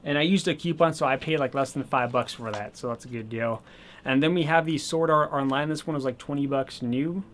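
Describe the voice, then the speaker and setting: A man casually showing off his new video games and accessories, chatty and rambling, slightly hoarse as he says he is losing his voice. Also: mid-range voice, fairly quick, fairly bright tone, slight background noise. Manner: chatty and rambling, with many fillers. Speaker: gaming channel host. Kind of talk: casual video game haul vlog.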